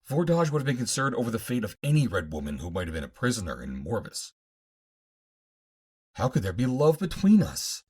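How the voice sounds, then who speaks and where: A man voicing a guarded, doubting young captive woman of Mars in an audiobook, measured and questioning. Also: mid-range voice, wildly swooping pitch, darkish tone, breathy edge. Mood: doubtful, guarded.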